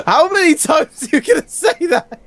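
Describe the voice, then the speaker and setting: A man's voice: very high-pitched, fairly bright tone, no room sound. A man hosting a comic read-aloud of fan fiction, often breaking into laughter between bits of casual banter. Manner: giggly, often breaking into laughter while reading.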